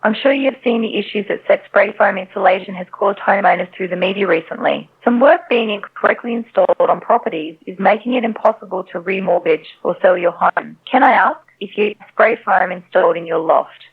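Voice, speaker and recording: A woman's voice: mid-range voice, fairly quick, vocal fry, few pauses, slightly rough. Tinny phone-line woman, scripted caller. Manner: polite, persistent. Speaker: AI robocall telemarketer. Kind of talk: scripted sales call.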